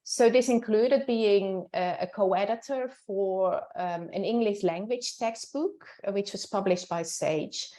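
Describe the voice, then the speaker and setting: A woman's voice: medium pitch, measured pace, few pauses, warm tone. Dutch-accented older woman professor, reflective. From Netherlands. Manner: thoughtful, many fillers and restarts. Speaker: professor, interview guest. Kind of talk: reflective interview answer.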